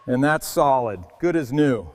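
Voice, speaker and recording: A man's voice: medium pitch, animated, a little slow, a little hiss or hum. A man hosting a restoration video, giving hands-on workshop commentary.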